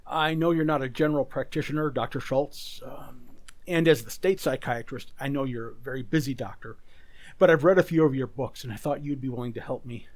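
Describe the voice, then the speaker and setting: A man's voice: medium pitch, fairly quick, darkish tone, close to an everyday voice. A man playing an anxious, troubled businessman in an old-time radio drama, speaking hesitantly and with distress. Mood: troubled, earnest. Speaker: amateur community theater actor.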